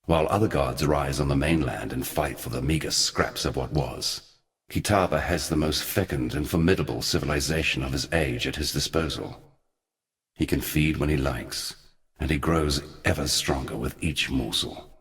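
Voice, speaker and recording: Sin, a very deep, somber ancient god. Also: male voice, a bit bright, long reverb tail. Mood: grave. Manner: solemn, deliberate, archaic and portentous phrasing. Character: Sin, ancient male god, somber, forgotten, weary protector of humanity. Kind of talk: scripted video game character dialogue.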